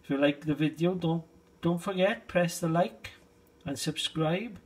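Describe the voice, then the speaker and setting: A middle-aged British man giving a casual, unhurried and low-key hobbyist demonstration in a conversational way. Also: breathy edge. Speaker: hobbyist video host. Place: small indoor space, shed.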